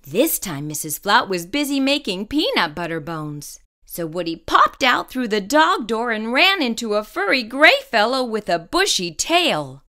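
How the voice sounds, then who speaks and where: A woman storyteller reading a children's picture book aloud, expressive and carefully phrased. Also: a little high, measured pace, few pauses.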